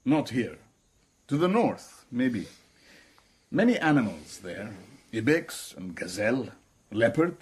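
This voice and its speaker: An actor playing a quiet, grave local guide, speaking terse, halting in a radio drama. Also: male voice, mid-range voice, big pitch swings, slightly rough, heavily acted. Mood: grave, reflective. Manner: terse, halting, simple sentences. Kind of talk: broadcast radio drama acting.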